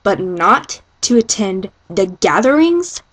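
Woman as a mean, husky she-cat. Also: medium pitch, sing-song, takes it slowly, a bit bright, exaggerated voice. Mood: indignant. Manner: indignant, protesting. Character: mean, defiant female warrior cat.

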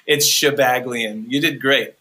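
A man's voice: mid-range voice, steady tone, unhurried, pushed and bright. A man from California, a musician guest on a podcast, talking earnestly and rambling with many restarts. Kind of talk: podcast interview.

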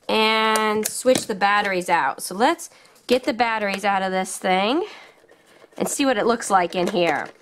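A woman's voice: fairly high pitch, background noise. A woman hosting a casual toy showcase for children, speaking enthusiastically in simple phrases. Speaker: children's toy channel host.

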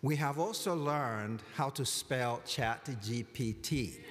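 Man, commencement speaker, mid-pitched voice, dry humor, lectern mic, reverberant hall. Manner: measured, deliberate delivery with dry humor. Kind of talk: formal commencement address. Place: large hall at a lectern, indoors. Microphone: lectern microphone.

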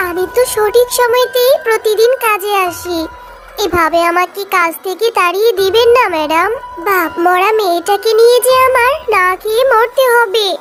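Woman as a high-voiced, humble fox mother. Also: moderate pace. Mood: pleading, worried. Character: humble, worried fox widow, caring working mother. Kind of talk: children's cartoon story dialogue. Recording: music behind the voice.

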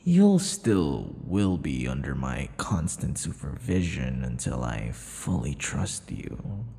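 A man performs a vain, possessive male vampire in an intimate audio roleplay. He taunts his captive theatrically, slow and gravelly, drifting into whispers. Long reverb hangs around the voice. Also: a bass voice, big pitch swings, dark tone, full character voice. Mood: controlling, playful. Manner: theatrical, taunting, breathy whispers mixed with murmurs. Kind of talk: intimate ASMR boyfriend roleplay.

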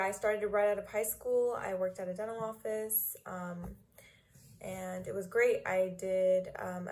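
Casting: twenties woman, dental student, relaxed webcam chat, lots of fillers. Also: mid-range voice. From Los Angeles, California. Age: 20s. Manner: chatty, many ums and likes. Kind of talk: interview answers to camera. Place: small room indoors.

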